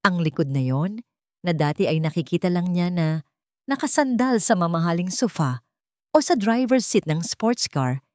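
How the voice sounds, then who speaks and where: A woman's voice: lowish voice, animated, normal speed, darkish tone, dry recording, clean studio sound. A woman reading a scripted love story as narrator, in a dramatic storytelling style.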